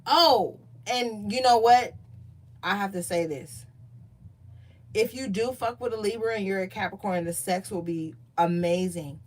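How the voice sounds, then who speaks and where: A woman giving a casual tarot reading, chatty and profane, reading card meanings aloud more calmly. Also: mid-range voice, a little slow, rough recording. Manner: loose, profane, chatty asides. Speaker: tarot reader.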